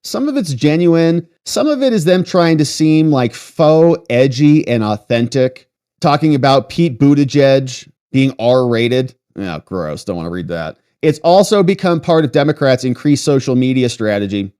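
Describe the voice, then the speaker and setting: American guy doing livestream politics commentary, really animated up and down delivery, snarky asides while reading stuff out. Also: medium pitch, unhurried, clean tone. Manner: sarcastic, mocking asides.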